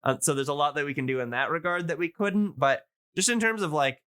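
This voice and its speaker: A man talking casually and enthusiastically as a podcast guest, explaining things in a rambling, halting way. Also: a little high, pushed and bright, clear, resonant tone, dry recording, studio quality. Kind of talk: casual, enthusiastic info-dumping podcast chat.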